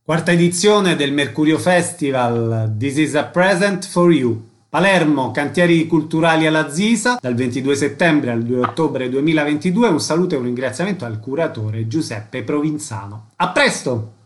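A man's voice: on the high side, animated, normal speed, hardly any pauses. A man presenting a radio programme, introducing guests and asking short questions in a scripted style. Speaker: radio interviewer and host.

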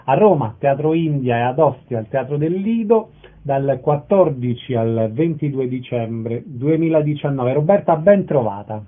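A man's voice: mid-range voice, animated, moderate pace. A man hosting a radio interview, presenting events and putting questions to guests. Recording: narrow, phone-like sound.

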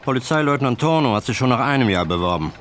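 A man's voice: mid-range voice, lively intonation, fast, a bit bright, a little hiss or hum, natural voice. A man playing a genial but probing detective chief in a radio drama, speaking with clipped authority. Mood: probing, authoritative. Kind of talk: German radio drama acting.

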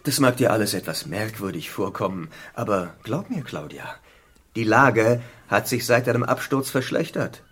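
An actor playing an affable, eccentric doctor in a radio drama, speaking in a polite, soothing, slightly pompous way. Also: male voice, on the low side, expressive, normal speed, a bit bright, husky. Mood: grave. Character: Olaf, affable, eccentric plastic surgeon acting as island doctor. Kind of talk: German radio drama acting.

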